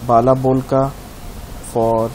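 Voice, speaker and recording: A man giving a step-by-step software tutorial in simple, halting instructions. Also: medium pitch, little pitch movement, low-quality recording. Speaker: tutorial host. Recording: clear background hiss.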